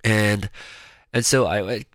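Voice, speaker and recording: A man chatting casually in a rambling personal monologue, sounding nasal and congested, with a slightly raspy, gravelly voice. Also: fairly low pitch, fairly even intonation, slow, fairly bright tone, dry recording. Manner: rambling, chatty, with frequent restarts. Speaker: vlogger.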